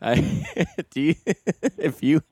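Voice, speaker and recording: Young guy cohost cracking up, unhurried, dry mic. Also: on the high side, huge pitch swings, darkish tone, dry recording. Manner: laughs a lot, teasing. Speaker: podcast co-host. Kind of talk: casual comedic banter.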